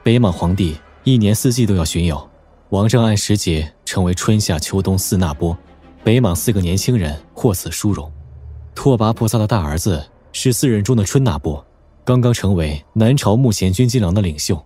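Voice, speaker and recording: A young military officer in a martial-arts audio drama. His voice is husky and rather low, with a dark, muted tone. He is a refined subordinate with indignation in him. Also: lively intonation, normal speed, soft background music. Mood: grave, reporting.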